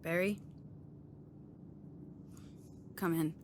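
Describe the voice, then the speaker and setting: Woman acting low-voiced, bitter young agent amid noise. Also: steady tone, brisk, natural voice. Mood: weary, reproachful. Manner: blunt, sarcastic, swearing. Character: grieving, bitter young woman agent, sharp-tongued and defiant. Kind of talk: audio drama acting. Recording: noisy ambience behind the voice.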